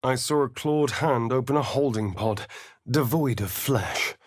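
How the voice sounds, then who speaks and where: An actor playing a sardonic, foppish vampire spawn rogue in a video game, with an arch, theatrical, disdainful drawl. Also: male voice, mid-range voice, measured pace, slightly rough, no echo. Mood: brooding, dramatic. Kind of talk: video game voice acting, companion dialogue and narration.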